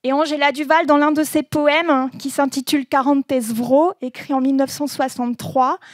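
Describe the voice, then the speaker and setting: A woman giving a relaxed presentation, reading proverbs aloud and explaining them with frequent laughter. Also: sits high, no room sound, studio quality. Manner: slow, laughing often, says proverbs then explains them. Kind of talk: informal presentation with translations.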